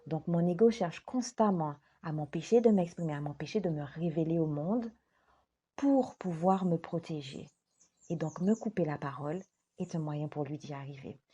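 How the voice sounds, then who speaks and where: A woman life coach speaking straight to her viewers in a gentle, earnest way, stressing her words slowly. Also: sits low, slightly rough, dull audio. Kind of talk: direct-to-camera talk.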